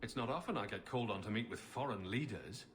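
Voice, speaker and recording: An actor playing a composed, wry champion in a video game, speaking in a measured, lightly dry way. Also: male voice, fairly low pitch, lively intonation, a bit bright, a little hiss or hum. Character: composed, wry male champion, a settled refugee. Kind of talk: video game cutscene acting.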